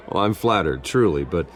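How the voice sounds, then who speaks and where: An actor playing a composed, wry billionaire socialite, polite, understated and guarded, in a dramatic video game. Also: male voice, low voice, fairly bright tone.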